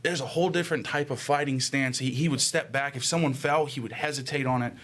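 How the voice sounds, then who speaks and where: A man's voice: medium pitch, steady tone, fast, fairly bright tone. A young man co-hosting a podcast, chatting casually about sports in an emphatic, profanity-heavy way. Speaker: podcast co-host. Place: small indoor room. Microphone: podcast microphone.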